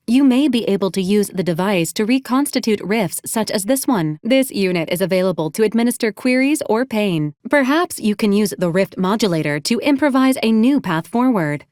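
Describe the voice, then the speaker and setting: A woman voicing a polite robot assistant in a video game, speaking formally and procedurally with a dry, deadpan delivery. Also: mid-range voice, no room sound, close to an everyday voice. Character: polite, dryly droll female robot assistant unit. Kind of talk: scripted video game character lines.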